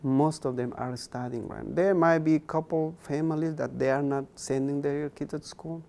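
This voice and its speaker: A man's voice: a little high, fairly even intonation, moderate pace, creaky, mellow. A young man, a Mayan speaker talking earnestly as an interview guest, repeating words for emphasis. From Guatemala. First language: K'iche' Mayan. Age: young adult.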